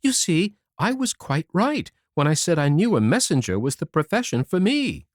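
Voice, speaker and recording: A man's voice: fairly high pitch, sing-song, moderate pace, no echo, clean studio sound, voice pushed up. A man voicing a tiny, clever, self-assured boy in a children's fairy tale, eager and confident. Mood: proud. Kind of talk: storybook character voice.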